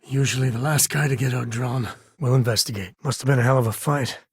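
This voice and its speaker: A rugged, folksy spacefaring cowboy for a video game. His American voice is heavily husky and sits at a medium pitch, with a wry, protective fatherly manner. The sound is dry, with no room on it. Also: brisk, dry recording. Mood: wry, easygoing. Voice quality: husky, rasping. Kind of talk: video game character dialogue.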